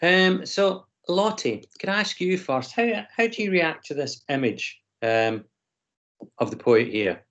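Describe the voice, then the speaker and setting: A man's voice: on the high side, lively intonation, a little slow, fairly bright tone. A man teaching an online class, leading a discussion with many ums and restarts. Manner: hesitant, frequent ums and restarts. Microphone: video call. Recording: slightly dull video call audio.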